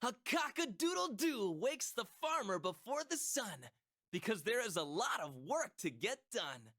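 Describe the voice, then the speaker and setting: Children's learning game guide, voiced by a woman: friendly, young, very animated, rhyming narration, close dry sound. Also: mid-range voice, measured pace, dry recording. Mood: cheerful. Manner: sing-song rhyming delivery.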